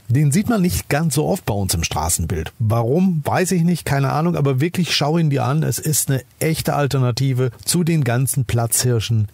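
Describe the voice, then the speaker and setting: A German man in a casual car review podcast, talking straight to the listener with plenty of enthusiasm. His voice is a little husky and sits at an ordinary pitch. The recording is dry and close, with no room sound. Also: a little mellow, no room sound. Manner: enthusiastic, chatty, addresses listener directly.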